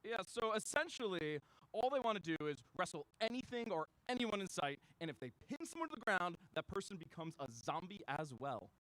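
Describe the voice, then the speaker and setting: A man's voice: high voice, big pitch swings, fairly quick, a bit bright, no room sound, rough recording. A man playing an earnest agent presenting evidence files in a radio drama, official and matter-of-fact. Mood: eager, explanatory. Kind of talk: science fiction radio drama acting.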